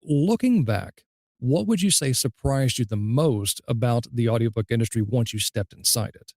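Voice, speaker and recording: A man hosting a casual podcast interview in an affirming, measured manner. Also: medium pitch, animated, darkish tone, no echo, clean studio sound. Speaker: podcast host, audiobook narrator.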